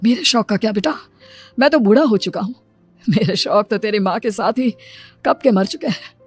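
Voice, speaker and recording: A woman voicing a loving, aging widowed father in a cartoon moral story, gentle and earnest. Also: mid-range voice, normal speed, slightly rough, faint music behind, close to an everyday voice. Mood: fond, resigned.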